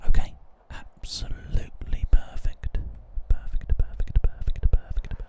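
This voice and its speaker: Whispering male ASMR gamer. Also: measured pace. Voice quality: breathy, unvoiced whisper. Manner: gentle, rambling. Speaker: gaming ASMR host. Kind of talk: whispered ASMR game commentary.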